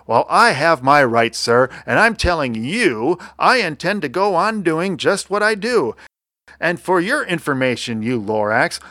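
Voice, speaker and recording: A man acts out an angry, greedy storybook tycoon. He blusters and yells in a raised, bright, forceful voice, hammering his words. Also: fairly high pitch, dry recording, clean studio sound, voice pushed up, heavily acted. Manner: yelling, blustering, emphatic repetition.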